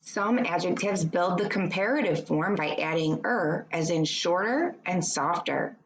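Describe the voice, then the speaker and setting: A woman reads an educational children's book aloud like a teacher, in a deliberate, instructive way, adding short explanations for young pupils. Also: fairly low pitch, a little hiss or hum.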